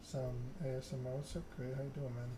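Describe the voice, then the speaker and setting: A man narrating game text in a measured, soothing ASMR style, as a streamer reading aloud. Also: medium pitch, level tone, background noise.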